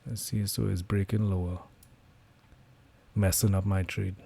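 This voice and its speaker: Hoarse man, low and mellow, flat delivery, relaxed live trading commentary. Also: measured pace. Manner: relaxed, thinking aloud with many fillers. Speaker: trading livestreamer.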